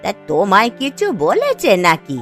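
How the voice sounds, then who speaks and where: A woman's voice: medium pitch, wildly swooping pitch, normal speed, a bit bright, faint music behind. A woman voicing a concerned, plain-spoken village wife, fussing and soothing, in a cartoon. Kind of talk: cartoon dialogue acting.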